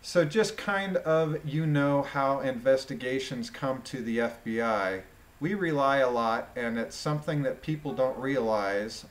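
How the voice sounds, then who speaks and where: A man with a mid-pitched voice reads a legal transcript aloud. He is deliberate, voicing both questions and answers in the informal way of an online commentator.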